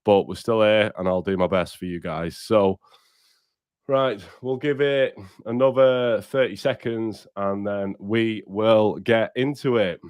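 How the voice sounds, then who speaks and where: A man's voice: on the low side, steady tone, unhurried, darkish tone, clear, resonant tone, dry recording, clean studio sound. A man hosting a casual livestream podcast while bunged up with a cold. He is blunt, sweary and upbeat, and talks straight to his viewers. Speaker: podcast host.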